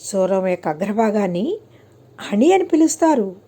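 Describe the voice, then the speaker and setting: A woman narrates moral stories for children in a scripted style, with measured, deliberate phrasing and frequent pauses. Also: medium pitch, sing-song, warm tone. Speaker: storyteller.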